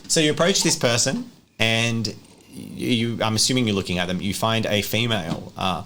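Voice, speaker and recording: A man's voice: lowish voice, moderate pace, fairly bright tone. A man narrating a tabletop roleplay as game master, improvising as he goes. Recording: noisy room ambience.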